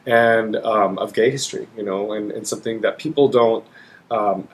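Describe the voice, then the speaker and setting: A man's voice: on the low side, steady tone, moderate pace, poor audio. A young adult man from Texas, an opera singer chatting as a podcast guest with enthusiasm and lots of fillers. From Dallas, Texas. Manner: enthusiastic, rambling, frequent fillers. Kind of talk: casual interview chat.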